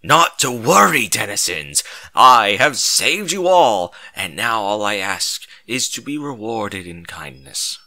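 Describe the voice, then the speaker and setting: A man voicing a grandiose heroic pony savior in a comedic story, with booming, declamatory delivery. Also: sing-song, slow, pushed and bright, full character voice. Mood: gallant, booming. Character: grandiose heroic male pony savior, gallant yet desperate. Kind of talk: comedic fan-fiction story reading.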